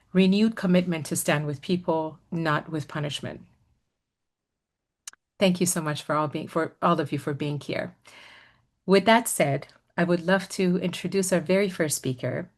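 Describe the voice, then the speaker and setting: A woman moderating a panel, closing her welcome and introducing the first speaker in a measured, earnest way. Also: lowish voice.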